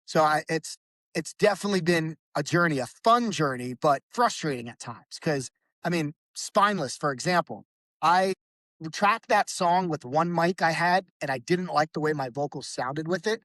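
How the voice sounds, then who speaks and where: A man with a fairly high voice talks as a podcast guest in a loose, rambling way. He keeps restarting his sentences and fills gaps with "like". The sound is dry and close, with no room echo. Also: animated, moderate pace, a bit bright. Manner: rambling, with frequent restarts and fillers. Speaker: podcast guest, band vocalist. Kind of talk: casual conversation.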